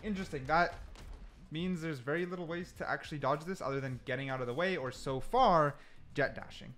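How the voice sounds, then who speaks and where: Higher-voiced man doing casual gameplay commentary. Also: a little fast, fairly bright tone, a little hiss or hum. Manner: explanatory, repeats points for emphasis. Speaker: gaming video creator.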